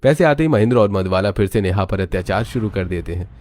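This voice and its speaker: A man's voice: lowish voice, lively intonation, fast talker, darkish tone. A man giving scripted storybook narration for a family cartoon.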